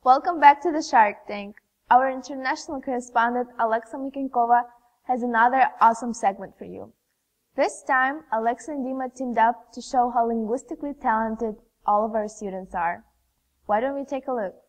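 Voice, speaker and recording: A woman's voice: on the high side, a little fast. A young woman in her teens reading scripted school news announcements as a student anchor. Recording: reverberant room.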